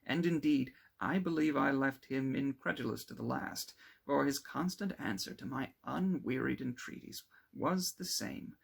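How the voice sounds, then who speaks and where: A man's voice: medium pitch, measured pace, gravelly, slightly rough, pitched above the performer's own voice, exaggerated voice. A man voicing a cheerful, eager young male friend in a storybook, effusive and affectionate. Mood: amused, chatty. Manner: exclamatory, effusive.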